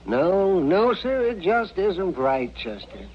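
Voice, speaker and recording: Crotchety frontier doc, older, swooping indignant delivery, radio western, muffled noisy vintage recording. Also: male voice, high-pitched, big pitch swings, takes it slowly, exaggerated voice. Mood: scolding, indignant. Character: cantankerous small-town frontier doctor, scolding and fussy. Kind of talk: old-time radio western acting.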